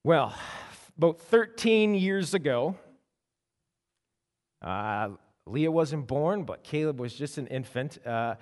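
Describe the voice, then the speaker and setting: A man preaching a sermon as pastor, telling stories in an animated way with frequent restarts. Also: a little high, slow, long pauses. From Canada. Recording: long hall reverb.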